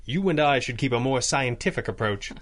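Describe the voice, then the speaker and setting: An actor playing a cool, rational detective boss in a 1930s-style radio drama, measured, formal and dryly skeptical. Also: male voice, medium pitch, animated, a bit bright. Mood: dry skepticism.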